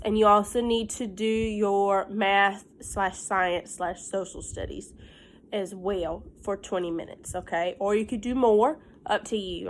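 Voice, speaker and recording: Slow-paced woman teacher reading to children. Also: mid-range voice. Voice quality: congested from pollen. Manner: simple explanations and checking questions to children. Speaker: elementary school teacher. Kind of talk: read-aloud lesson for young children.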